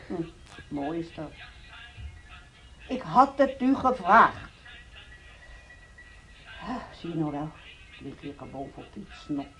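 A woman's voice: deep voice, big pitch swings, slow pace, long pauses, a bit bright, soft background music, heavily acted. An actress playing an indignant female hospital patient in a radio drama, complaining in a whining, sulky way. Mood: sulky muttering. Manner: whining, sulky, easily offended. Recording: dull lo-fi radio play recording.

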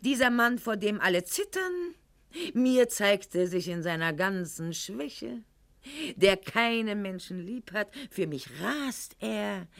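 A woman's voice: lowish voice, exaggerated voice. An actress playing a proud, articulate eighteenth-century court lady in a radio play monologue, with formal, mannered diction and an indignant, self-justifying air. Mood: intense, dramatic. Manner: eloquent, mannered, formal diction with dramatic pauses and sudden outbursts.

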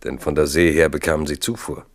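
A man narrating a literary radio play in a measured, storytelling manner. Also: rumbling low, level tone.

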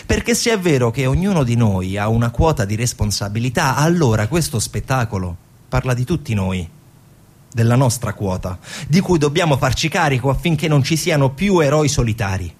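Husky male theatre narrator. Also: mid-range voice, sing-song, normal speed. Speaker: stage actor and narrator.

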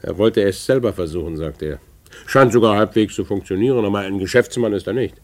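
Actor as sly, low-voiced older businessman. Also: brisk, darkish tone, natural voice. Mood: smug, insinuating. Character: sly, self-satisfied older businessman and false friend. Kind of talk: 1950s German radio play acting.

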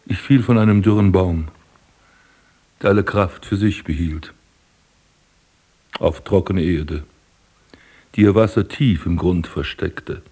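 A proud aging prince with a low, husky voice. He declaims solemnly and poetically, a possessive master and father figure in a literary radio play. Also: slow, pauses often, dark tone, heavily acted. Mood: solemn, pleading. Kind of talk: literary German radio drama acting.

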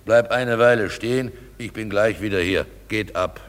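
A man's voice: fairly low pitch, moderate pace, natural voice. A man playing a blustering, self-assured simple craftsman who acts as an amateur in a comic German radio drama. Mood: stilted, declaiming. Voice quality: gravelly.

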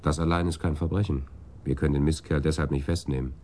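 An actor playing a brusque, cynical police detective in a German radio crime drama, with a husky voice and terse delivery. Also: male voice, a bass voice, steady tone, fast, warm tone. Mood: brisk, cynical.

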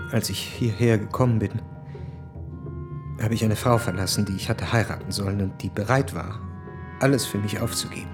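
Distraught young man played by a male actor, lowish creaky voice, halting intimate confession, radio drama with prominent music underneath. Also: close to an everyday voice. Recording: studio voice over prominent music.

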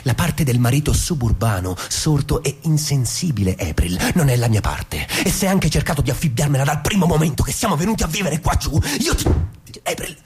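An actor playing a defensive, self-righteous, wounded husband in an Italian radio stage drama, halting and then cutting. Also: male voice, fairly high pitch, animated, darkish tone, breathy edge, full character voice. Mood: accusing.

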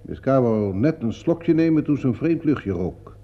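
Male actor as brusque, self-assured showman, radio play. Curt and sardonic delivery, lively intonation, muffled vintage sound. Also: mid-range voice, normal speed, lightly acted. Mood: defensive, impatient. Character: brusque, defensive theatre producer, self-assured, impatient man. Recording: dull, muffled old radio recording.

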